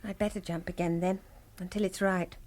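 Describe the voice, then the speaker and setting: Actress as spirited young wartime radio operator. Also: female voice, fairly low pitch, level tone, a little fast, a little mellow, lightly acted. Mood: contrite. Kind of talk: radio drama acting.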